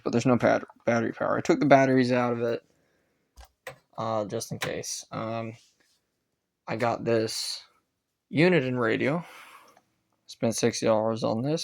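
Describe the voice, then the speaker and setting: Male hobbyist reviewer, mid-pitched with noticeable fry; unscripted, halting, casual delivery that doubles back to correct itself. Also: a little mellow. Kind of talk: casual rambling gadget review.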